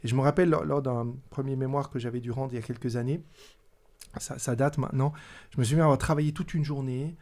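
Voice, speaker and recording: Casting: male pastor guest, warm mellow tone, wry humor. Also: mid-range voice, fairly even intonation, fast. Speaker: pastor and student.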